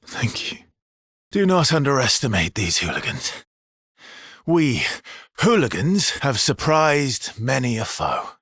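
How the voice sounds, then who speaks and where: A weary, gentle adventurer in a video game, very husky and breathy. His low voice takes its time and sounds dry and close, with measured, understated lines. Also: wildly swooping pitch, no room sound, heavily acted. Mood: dry, wry, then mock-heroic. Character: weary, gentle, guilt-burdened adult male adventurer.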